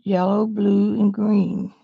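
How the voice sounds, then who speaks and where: Sniffly woman with a gravelly voice. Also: medium pitch, little pitch movement, takes it slowly, phone-quality sound. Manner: chatty, describing supplies to viewers. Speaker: art teacher vlogger. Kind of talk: casual live stream chat.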